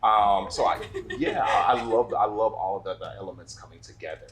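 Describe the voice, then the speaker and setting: A man hosting a casual interview at a table with guests, upbeat and quick to repeat his praise. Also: fairly low pitch, fairly bright tone, poor audio. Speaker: interviewer, magazine host.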